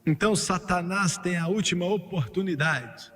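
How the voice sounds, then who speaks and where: A man preaching an emphatic sermon that builds to fervent, shouted peaks between joking asides. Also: high voice, moderate pace, a little hiss or hum. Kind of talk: sermon, voiced over in translation. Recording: long hall reverb with faint music underneath.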